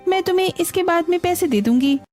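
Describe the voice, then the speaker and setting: A woman's voice: extremely high pitch, lively intonation, fast talker, darkish tone, soft background music, pitched above the performer's own voice. A woman voicing a lazy, entitled young female houseguest in a cartoon story, whining and ordering others around. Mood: entitled, dismissive.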